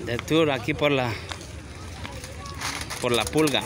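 A man's voice: mid-range voice, slow pace, background noise. A man casually vlogging a walk through an outdoor flea market, talking straight to his viewers on a handheld phone camera. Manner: chatty, addresses viewers directly, asks for likes. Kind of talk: casual walk-and-talk vlog. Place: outdoor flea market, sunny gravel lot.

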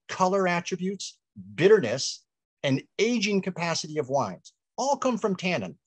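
A man's voice: medium pitch, dry recording. A man in his fifties hosting a virtual wine tasting over a video call, talking energetically outdoors by a vineyard. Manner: energetic, gestures with hands while explaining. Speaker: host. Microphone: video call webcam.

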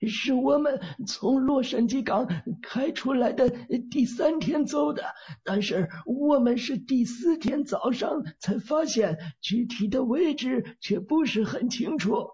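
A man's voice: extremely high pitch, unhurried, a little mellow, breathy edge, pitched above the performer's own voice, exaggerated voice. A man voicing a frightened male gang member who trembles and stammers as he talks, in a muffled lo-fi recording.

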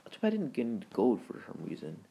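A man hosting a gaming video with casual, rambling, halting commentary in informal words. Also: medium pitch, huge pitch swings, gravelly, lo-fi sound, a little hiss or hum. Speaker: gaming video creator and host.

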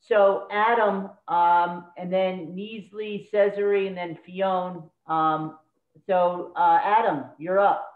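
A woman's voice: fairly low pitch, steady tone. A woman hosting an online workshop, encouraging and calling on participants by name. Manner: brisk, encouraging, calls on people by name. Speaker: workshop host. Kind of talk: casual moderation. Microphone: video call. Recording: tinny phone-like echoey call audio.

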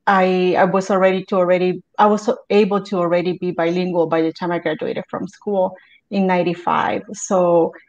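A middle-aged woman from El Salvador, telling her story as a guest on a video call, steady with many ums. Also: fairly low pitch, moderate pace. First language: Spanish. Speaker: guest, community advocate. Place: home room with bookshelves. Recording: dull lo-fi video call audio.

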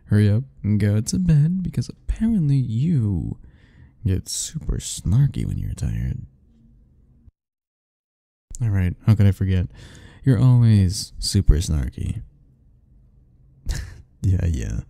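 A man's voice: deep voice, gravelly, warm tone. A young man speaking a playful, teasing ASMR roleplay straight to the listener, laughing often between lines.

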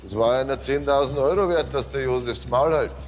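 A cocky man acted by a man in a TV crime comedy, speaking at medium pitch. He is pushy and insinuating, and music runs clearly behind him. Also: fairly quick, lightly acted. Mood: menacing.

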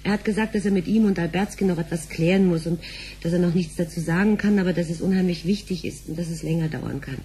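A woman's voice: lowish voice, fast, warm tone, a little hiss or hum, close to an everyday voice. An actress playing a worried, sceptical young woman in a sci-fi radio drama, chiding and incredulous. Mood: concerned.

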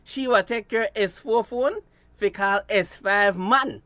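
A man's voice: high-pitched, takes it slowly, bright, forceful tone, no echo, exaggerated voice. An actor with a Belizean Creole accent playing a hard-up, guarded fisherman in a radio drama. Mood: wry. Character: hard-up, guarded male fisherman seeking fresh start.

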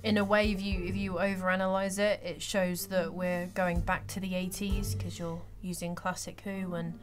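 A woman's voice: fairly low pitch, flat delivery, normal speed. A young woman chatting casually as a cast member, enthusiastic and supportive in the way she talks. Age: young adult. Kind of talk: casual group chat. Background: music.

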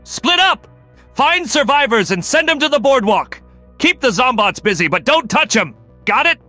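Man voicing a brash, bright-voiced crocodile detective boss. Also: very high-pitched, sing-song, normal speed, faint music behind, full character voice. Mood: urgent, barking. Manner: commanding, barks orders. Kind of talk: comic dub voice acting.

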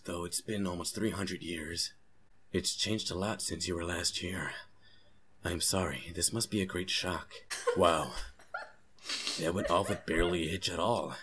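Man as smug low-voiced gentleman mage. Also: background noise, close to an everyday voice. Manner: polite, condescending, old-fashioned formal wording. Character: smug, genteel gentleman mage, condescending and fussy. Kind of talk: comic fandub acting.

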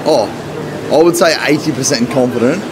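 A young man in his twenties with an Australian accent, vlogging nervously and excitedly as a football fan from the stands, phone at arm's length. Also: mid-range voice, moderate pace, noisy background. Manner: animated, nervous fan commentary. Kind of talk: excited game-day vlog chat. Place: packed outdoor stadium stands. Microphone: phone held at arm's length. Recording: loud roaring crowd and stadium music.

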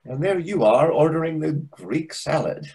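An actor playing a pompous, needling waiter with a husky voice, theatrical and condescending in a readers theatre comedy. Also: male voice, a little high, lively intonation, normal speed, dark tone, full character voice, low-quality recording. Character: pompous, needling, over-familiar Greek restaurant waiter. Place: indoors on a video call. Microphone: video call microphone.

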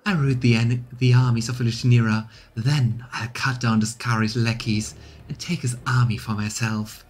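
A man's voice: medium pitch, steady tone, normal speed, close to an everyday voice. Man putting on a haughty demon princess villain. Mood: triumphant. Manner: haughty, grandiose.

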